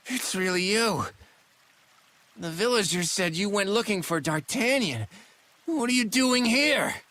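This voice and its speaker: Slow, gloating small-time crook. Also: male voice, high voice, expressive, bright, forceful tone, no room sound, heavily acted. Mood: exasperated. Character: greedy smug male small-time crook. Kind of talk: theatrical game cutscene voice acting.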